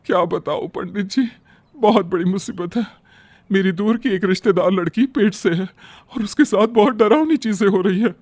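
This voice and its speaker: Man voicing an anxious, high-pitched village aunt. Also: normal speed, a little mellow, no echo. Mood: alarmed, pleading. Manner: urgent, pleading. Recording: background music under the voice.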